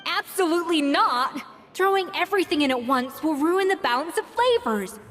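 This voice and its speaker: A woman playing a self-assured, exacting young head chef in a video game, speaking in a crisp, composed manner. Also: extremely high pitch, animated, normal speed, fairly bright tone. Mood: instructive. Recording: reverberant, with music behind.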